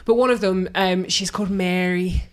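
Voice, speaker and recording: A woman's voice: on the low side, normal speed. A young woman co-hosting a casual chat podcast, telling funny stories with frequent laughter. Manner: storytelling, giggly, animated asides. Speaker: podcast co-host. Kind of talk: casual pub-style chat.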